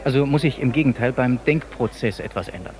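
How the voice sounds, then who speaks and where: Ein Schauspieler spielt in einem Hörspiel einen sachlichen, mitdenkenden Mann im Fachgespräch. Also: male voice, mid-range voice, fairly quick, crowd noise, lightly acted. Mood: inquiring. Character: male questioner probing a technical system, matter-of-fact. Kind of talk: radio drama dialogue.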